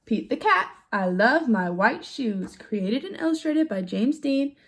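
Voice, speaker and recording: A woman reading a picture book aloud to young children in an animated way, putting questions to her listeners. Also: a little high, measured pace. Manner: animated, with gasps and exclamations. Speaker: storybook reader for young children.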